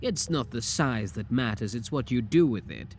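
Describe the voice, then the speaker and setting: A man's voice: mid-range voice, lively intonation, normal speed, darkish tone, slight background noise, natural voice. A man playing a flirty, swooping little clone scientist. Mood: smug, suggestive. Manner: suggestive, teasing, theatrical. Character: flirty, shameless tiny male clone scientist, playful and lewd. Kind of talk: ASMR audio roleplay acting.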